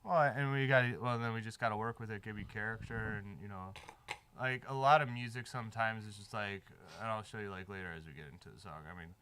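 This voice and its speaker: A man's voice: fairly low pitch, fast talker, slight background noise. A man making beats in a studio, chatting casually and explaining music theory step by step. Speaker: music producer. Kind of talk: casual studio chat while making a beat. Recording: loud music playing behind.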